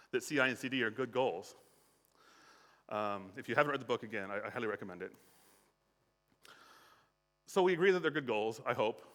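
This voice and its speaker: A middle-aged American man presents at a conference in a casual, unscripted way, stumbling over restarts and sprinkling in fillers. His voice is bright and forward, and a long hall reverb trails behind it. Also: mid-range voice. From United States. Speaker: conference speaker. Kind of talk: conference talk.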